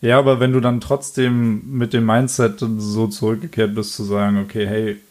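A man's voice: lowish voice, completely flat delivery, normal speed, a little mellow. A man interviewing a young man, backing up his answers, summing them up and asking follow-up questions. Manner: affirming, sums up answers, asks follow-ups.

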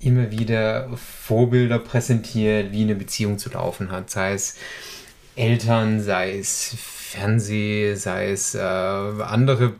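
A man's voice: lowish voice, flat delivery, takes it slowly, darkish tone. A man in his thirties, a podcast guest, talking reflectively in casual conversation with many hesitations. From rural Germany. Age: 30s.

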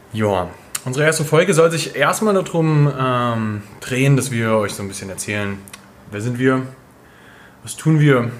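A man co-hosts a podcast in a loose, casual chat style, at a medium pitch. He mixes English slang into his speech. There is faint noise behind his voice. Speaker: podcast co-host, calisthenics coach.

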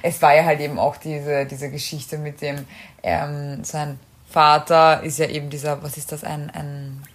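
A woman's voice: deep voice, level tone, measured pace. A woman with an Austrian German accent chatting casually as a podcast co-host, often searching for words and rephrasing mid-sentence. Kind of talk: casual unscripted chat about films and series.

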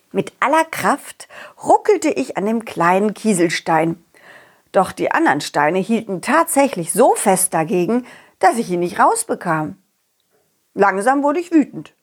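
A woman voicing a confident, loyal dog who tells an adventure to children in an expressive, chatty way. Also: medium pitch, husky. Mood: helpful. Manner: animated, expressive, addresses children directly. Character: confident, loyal, friendly talking dog telling his adventure. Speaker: puppeteer storyteller. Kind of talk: children's audio story, narrating to kids.

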